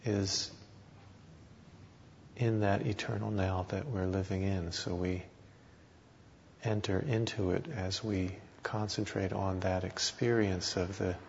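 A man leads a guided meditation in a low, creaky voice. His pitch barely moves, and he leaves long pauses between short phrases. The recording is slightly muffled. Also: steady tone, darkish tone. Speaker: meditation teacher. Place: meditation hall talk. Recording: dull recording with background hiss.

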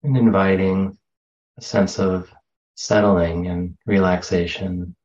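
A man with a deep voice guides a meditation very slowly and gently. He leaves long, unhurried pauses between short phrases. The recording is a little dull. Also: little pitch movement. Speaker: meditation teacher. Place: meditation center.

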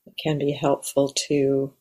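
A woman leading a guided meditation in a gentle, measured way, leaving long pauses. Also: rumbling low, monotone, slightly rough, low-quality recording. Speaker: meditation teacher. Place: meditation center.

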